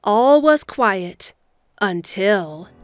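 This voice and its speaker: A woman's voice: medium pitch, animated, extremely slow. Woman narrating a story over music.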